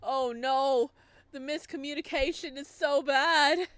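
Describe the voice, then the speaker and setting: A woman hosting a let's play, casually commenting and reacting with expressive, emotional exclamations. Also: sits high, slow pace, dry recording. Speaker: let's-play host reading a visual novel aloud.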